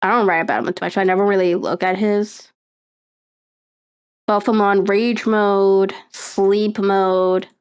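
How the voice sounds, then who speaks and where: A young woman streamer chatting casually on a live stream, rambling and excitable, with lots of fillers and laughter. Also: medium pitch, measured pace, smooth.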